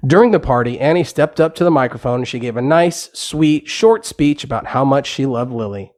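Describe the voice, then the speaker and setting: A man's voice: mid-range voice, brisk, a little mellow. Male narrator for a children's audio storybook, mid-range voice, scripted bedtime tale delivery.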